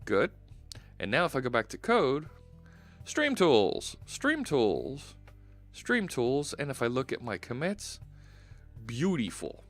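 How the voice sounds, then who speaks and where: A man's voice: medium pitch, wildly swooping pitch, slow pace, faint music behind. A man in his forties with a Philadelphia accent, hosting a casual coding live stream through a headset and thinking aloud with lots of fillers. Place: home studio, indoors.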